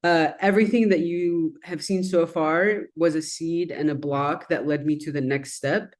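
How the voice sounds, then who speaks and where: A woman's voice: deep voice, steady tone, moderate pace. A woman whose first language is Arabic, giving a conversational presentation on her design research while walking through slides. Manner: reflective and conversational, with frequent "um" and "kind of".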